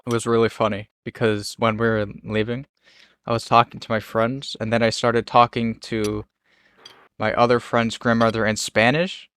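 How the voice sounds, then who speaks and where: A man's voice: mid-range voice, flat delivery, moderate pace, fairly bright tone, clean tone. A young man chatting casually and easily with a friend, laughing often. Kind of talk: casual chat.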